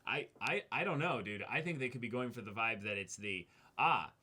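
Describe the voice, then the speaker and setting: A man's voice: medium pitch, normal speed, sharp tone. A man co-hosting a reaction show, casually discussing an anime episode in wordy, analytical phrasing.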